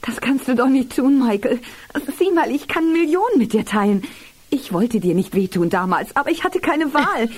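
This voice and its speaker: An actress playing a scheming villainess who pleads urgently and persuasively in a dubbed television action drama. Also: female voice, sits high, expressive, normal speed, darkish tone, slightly rough. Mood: frightened pleading. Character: scheming female villain pleading and bargaining for her life.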